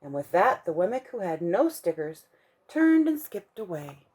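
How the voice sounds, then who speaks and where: Animated woman reading a bedtime story. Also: lowish voice. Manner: expressive, slowing down for emphasis. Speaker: children's ministry storyteller. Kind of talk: bedtime story read-aloud.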